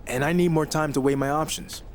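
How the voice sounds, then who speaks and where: A man's voice: on the high side, steady tone, fairly quick, natural voice. A man playing a confident, blunt, self-focused young wrestler in a video game, matter-of-fact in delivery. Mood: cocky, firm. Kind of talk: video game cutscene dialogue. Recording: faint background noise.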